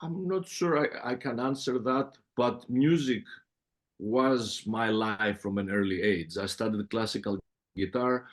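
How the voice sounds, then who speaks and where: A middle-aged man with a heavy Greek accent, telling his life story in a relaxed interview, full of fillers and restarts. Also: on the low side, measured pace. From Greece. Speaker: music producer guest. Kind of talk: casual interview conversation.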